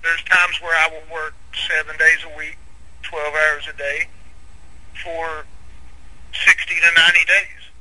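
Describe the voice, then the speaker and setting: A man's voice: on the high side, level tone, unhurried, long pauses, pushed and bright, low-quality recording. A man with a Southern US accent, a musician guest in a casual interview chat, talking in a plainspoken, laid-back way.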